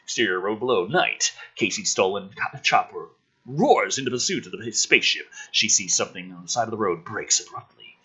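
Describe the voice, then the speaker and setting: A man with a raspy voice reading a script aloud dramatically, mixed with casual, sardonic, sweary commentary. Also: on the low side, expressive, brisk, sharp tone, rough recording. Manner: sardonic, sweary, exasperated asides. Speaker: host reading and critiquing a film script draft.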